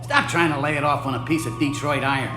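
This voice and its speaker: An actor playing a nervous, guilt-ridden husband in a radio drama, speaking in an agitated, emphatic way. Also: male voice, a little high, fairly even intonation, fast, over music, natural voice. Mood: scornful, accusing.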